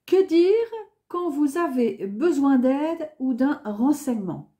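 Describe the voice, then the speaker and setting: A woman gives a beginner French lesson at an unhurried pace, repeating each phrase twice. Her voice sits high but sounds soft and mellow. She speaks carefully and clearly, like a patient teacher. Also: animated.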